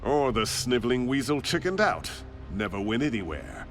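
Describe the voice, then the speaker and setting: An actor playing an arrogant, impatient rich bully in a video game, with a British accent and a sneering, condescending manner. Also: male voice, medium pitch, big pitch swings, moderate pace, soft background music, natural voice.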